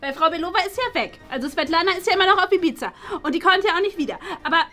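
A woman's voice: very high-pitched, lively intonation, rapid-fire, sharp tone, soft background music, heavily acted. A woman voicing a snobby, bossy schoolgirl who speaks with haughty scorn in a toy play series. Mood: scornful.

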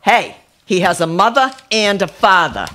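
A man's voice: high-pitched, expressive, moderate pace, bright, forceful tone, pitched above the performer's own voice. A man voicing a brash, foul-mouthed, combative aunt in a story, blunt and heated.

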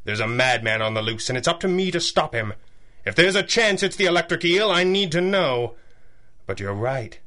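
An actor playing a stern, determined masked crime fighter in a pulp radio drama, with clipped, formal, authoritative speech. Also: male voice, a little high, sing-song, normal speed, sharp tone. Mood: menacing. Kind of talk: 1930s-style pulp radio drama acting.

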